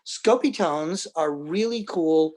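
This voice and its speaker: A man's voice: fairly high pitch, takes it slowly, darkish tone. A man hosting a casual unboxing, chatting and explaining in a playful, jokey showman way. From New York. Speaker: host, film collector and presenter. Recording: clicky, faintly noisy live room recording.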